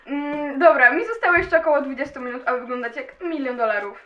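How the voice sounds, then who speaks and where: A woman's voice: high voice, quick pace, muffled recording, natural voice. A young woman playing a clumsy, dramatic party girl in an amateur student radio drama, exclaiming and mocking herself. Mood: casual, chatty. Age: young adult. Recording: noisy amateur recording with clicks.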